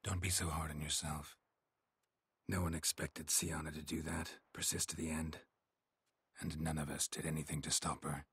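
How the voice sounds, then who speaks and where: An actor playing a stoic, world-weary monster hunter in a fantasy game, speaking tersely in a low gravelly voice. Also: male voice, normal speed, dry recording, heavily acted. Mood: somber. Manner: terse, clipped, flat delivery.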